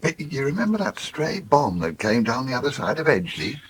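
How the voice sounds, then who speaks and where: An actor playing a sentimental old English farmer in a radio soap, with a husky voice and a halting, reminiscing manner. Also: male voice, medium pitch, big pitch swings, lightly acted. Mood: amused, reminiscing. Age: older. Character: sentimental old farmer, wistful about bygone days. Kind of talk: naturalistic radio soap acting.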